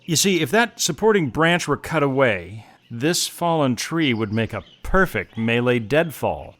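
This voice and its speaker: An actor playing a resolute, resourceful mercenary on the run in an audio drama, speaking in terse, urgent commands. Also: male voice, mid-range voice, sing-song, unhurried, a bit bright. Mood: determined. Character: resolute, resourceful male mercenary hunted through a jungle.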